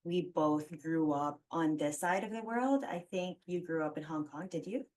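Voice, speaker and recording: A woman in her thirties from the Philippines hosts a relaxed interview podcast with a low voice. She talks reflectively, sprinkling her sentences with "like" and "you know". Her voice sits a little back from the microphone. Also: moderate pace. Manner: chatty, warm reflections full of "like" fillers. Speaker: podcast host. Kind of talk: casual conversational interview. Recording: voice a bit distant from the mic.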